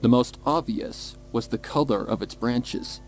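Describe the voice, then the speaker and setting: A man's voice: medium pitch, a little slow. A man reading scripted, dramatic story narration. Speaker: fan fiction narrator.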